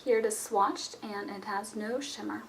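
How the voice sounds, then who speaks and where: Hoarse woman reviewing makeup, roomy echo. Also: medium pitch, fairly even intonation, normal speed. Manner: chatty, with frequent ums and restarts. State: hoarse from a cold. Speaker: beauty vlogger. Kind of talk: casual product review. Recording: roomy echo, from a distance, faint noise.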